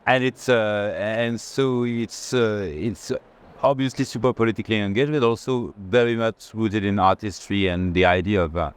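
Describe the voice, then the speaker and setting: A man's voice: fairly low pitch, steady tone, moderate pace, clear, resonant tone. A man with a French accent chatting casually on an art fair tour, informal and often searching for words. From France. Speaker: art institution director in conversation.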